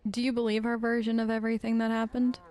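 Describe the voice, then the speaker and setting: Higher-voiced woman role-playing through a game. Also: little pitch movement, quick pace, darkish tone. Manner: conversational, informal, reacting in character. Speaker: let's play streamer. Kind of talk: casual improvised role-play in a game playthrough.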